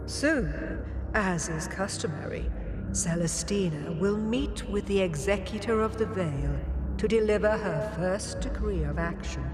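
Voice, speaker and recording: Slow-spoken elder alien sovereign played by an actor, game cutscene. Stern, zealous, commanding; cavernous reverb, loud music underneath. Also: medium pitch, natural voice. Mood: brooding, solemn. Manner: measured, grave, commanding. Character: aging, stern alien former ruler, solemn and zealous. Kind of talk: scripted dramatic game cutscene dialogue. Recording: long hall reverb over loud music.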